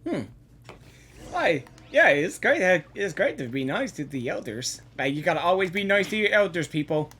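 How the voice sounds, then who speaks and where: A woman's voice: lowish voice, very animated, normal speed, a bit bright, slight background noise. A woman giving spontaneous reaction commentary on a game, exclaiming and repeating her words. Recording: game music behind the voice.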